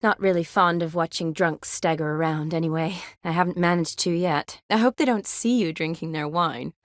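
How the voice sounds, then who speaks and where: A woman's voice: fairly low pitch, normal speed, no echo, close to an everyday voice. A woman playing a composed, wry young medic in a fantasy video game, speaking articulately with a dryly teasing edge. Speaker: video game character, army surgeon. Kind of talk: video game dialogue acting.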